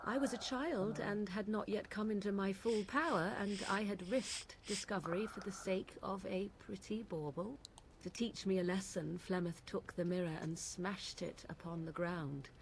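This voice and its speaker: A woman's voice: mid-range voice, measured pace, gravelly, background noise. A woman playing an aloof, cynical young witch from the wilds in a fantasy game, speaking in formal, archaic phrases with haughty disdain. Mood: wistful, bitter. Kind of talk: fantasy video game acting.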